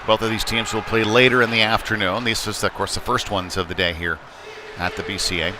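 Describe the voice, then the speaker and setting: A man giving live play-by-play commentary on ice hockey in a halting way, with frequent pauses. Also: fairly low pitch, steady tone. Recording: noisy live rink broadcast.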